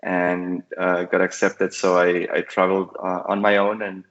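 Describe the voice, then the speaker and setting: Deep flat-voiced man. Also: measured pace, dull audio. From Costa Rica. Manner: thoughtful, many fillers and restarts. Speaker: guest entrepreneur. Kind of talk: casual interview talk. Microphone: call audio.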